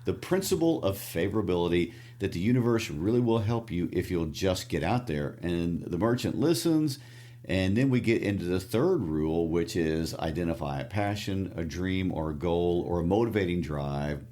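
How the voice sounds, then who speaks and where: A man with a Midwestern accent, co-hosting a casual book discussion podcast and explaining the story in a relaxed way. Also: low-pitched, moderate pace. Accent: Midwestern American. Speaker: podcast co-host.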